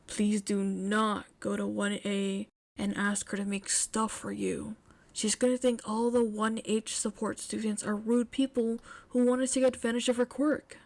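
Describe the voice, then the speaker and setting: A woman's voice: mid-range voice, little pitch movement, measured pace, creaky, darkish tone. Woman voicing an exasperated, insistent teenage schoolboy. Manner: firm, scolding.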